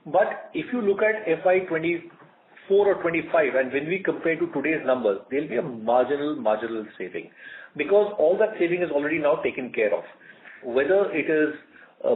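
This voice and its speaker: Higher-pitched Indian man on muffled conference call. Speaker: company executive answering analysts. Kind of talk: earnings call answers. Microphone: conference call line.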